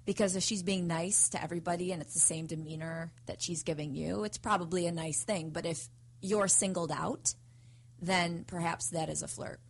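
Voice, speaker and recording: A woman's voice: lowish voice, fairly even intonation, normal speed, gravelly. A woman on a podcast panel, chatting casually with anecdotes and advice.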